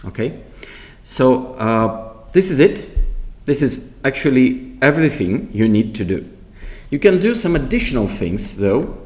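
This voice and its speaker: A man giving an unscripted product tutorial in a halting, explanatory way. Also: mid-range voice, sing-song, a little mellow. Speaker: product demonstrator.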